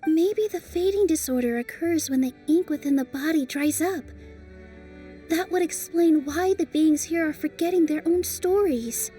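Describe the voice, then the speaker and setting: A gentle young traveler with a very high, mellow voice, earnest and soothing, as a woman plays her. She sounds a little off-mic, with soft game music underneath. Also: moderate pace, distant mic, close to an everyday voice. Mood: wondering. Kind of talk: game cutscene dub.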